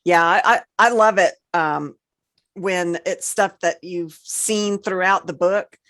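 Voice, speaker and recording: A woman co-hosting a podcast in casual, informal conversation, easygoing and quick to agree. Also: fairly low pitch, fairly bright tone, dry recording, clean studio sound. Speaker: podcast co-host.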